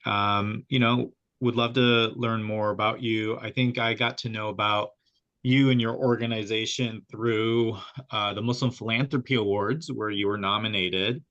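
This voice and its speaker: A man hosting a podcast interview, friendly and relaxed, with fillers. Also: on the low side, moderate pace. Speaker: podcast host. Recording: dull lo-fi audio.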